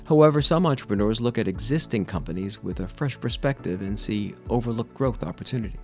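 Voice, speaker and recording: A man in his sixties hosting a podcast, reading a formal, measured introduction and asking questions. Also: fairly low pitch, expressive, soft background music. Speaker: podcast host. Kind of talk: scripted intro and interview questions.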